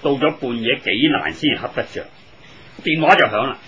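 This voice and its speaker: Weary, exasperated worker, wildly swinging pitch. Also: male voice, brisk, muffled recording. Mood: weary, grumbling. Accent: Hong Kong Cantonese. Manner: grumbling, sighing, excitable. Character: weary, exasperated working man plagued by prank calls. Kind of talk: 1980s radio drama acting.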